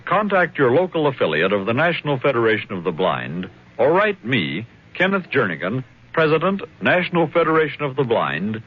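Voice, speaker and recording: A man reading a scripted public service announcement on 1970s radio as an organization's spokesman. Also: medium pitch. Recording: dull old radio broadcast.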